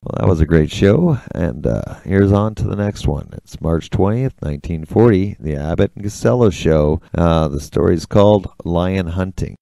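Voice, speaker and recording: Male host, deep pitch, gravelly fry, casual introduction. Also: lively intonation, moderate pace, hardly any pauses. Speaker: host introducing old radio shows. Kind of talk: casual presenting.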